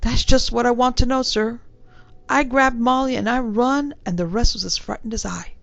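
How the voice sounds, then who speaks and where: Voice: mid-range voice, fairly even intonation, normal speed, fairly bright tone, dry recording, pitched above the performer's own voice. A reader voicing a young male Cockney bellboy who drops his H's and keeps saying sir, blunt and uneasy. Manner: dropped H's, rough working-class speech, repeated sirs.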